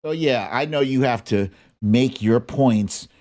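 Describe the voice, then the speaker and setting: Casting: American political show host, medium pitch, slow deliberate pace, blunt and indignant, speaking off the cuff with heavy emphasis. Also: a little mellow. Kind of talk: opinionated political commentary, unscripted.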